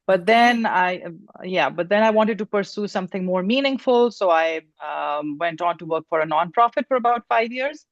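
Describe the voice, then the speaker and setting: A woman's voice: fairly low pitch, normal speed, hardly any pauses, no echo. Older woman from Pakistan, video call guest, dry dull sound, chatty with fillers. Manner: warm, laughs often, many fillers. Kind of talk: casual interview. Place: home room.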